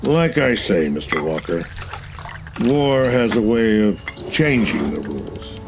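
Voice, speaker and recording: An actor playing a commanding, wry senior naval commander who talks in a clipped, authoritative way. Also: male voice, lowish voice, sing-song, slow pace, background noise, heavily acted.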